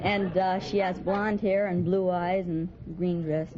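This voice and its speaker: A man's voice: high voice, fairly even intonation, unhurried, a loud crowd. Bashful young teenage boy, very noisy recording. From New York City. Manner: bashful, hesitant, polite. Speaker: quiz show contestant. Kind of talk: halting interview answers. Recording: noisy, dull vintage radio recording. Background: heavy noise.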